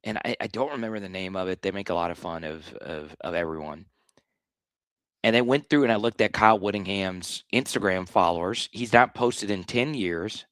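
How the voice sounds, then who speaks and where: A man with a slightly hoarse voice hosting a live sports talk stream, blunt and animated, often restarting his sentences. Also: low-pitched, moderate pace, no echo. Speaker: sports show host.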